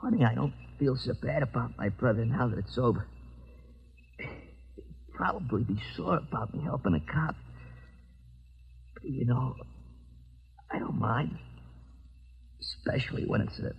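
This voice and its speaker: A man playing an earnest, loyal young street tough with a husky voice in an old-time radio drama. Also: medium pitch, animated, a little slow, long pauses, lo-fi sound, exaggerated voice. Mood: weak, wounded. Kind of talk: 1940s-50s radio drama acting. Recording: reverberant vintage radio recording.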